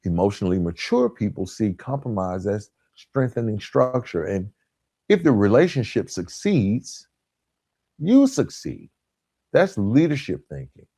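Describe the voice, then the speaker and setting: Low-voiced man giving an emphatic motivational talk. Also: sing-song, warm tone, slightly rough, no echo. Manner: emphatic, instructional, with deliberate pauses and stressed key words. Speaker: podcast host. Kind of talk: motivational self-help monologue.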